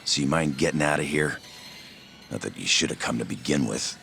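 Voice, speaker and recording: Casting: annoyed arcade keeper, extremely low and creaky, faint ambience behind, game scene. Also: male voice, fairly quick, sharp tone, a little hiss or hum, heavily acted. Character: irritated, put-upon male arcade shopkeeper. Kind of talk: video game dialogue.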